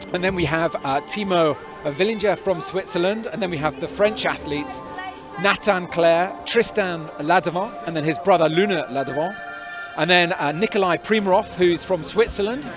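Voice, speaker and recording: A man doing live sports commentary and interviews, chatty and rambling with many fillers and restarts. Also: high voice. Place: outdoors at a climbing event. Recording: crowd noise behind.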